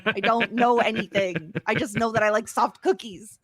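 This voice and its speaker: A woman's voice: a little high, steady tone, normal speed, breathy edge, no room sound. An American woman from upstate New York, co-hosting a podcast in casual, chatty talk and laughing often. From New York State, USA. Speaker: podcast co-host. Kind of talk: casual chat.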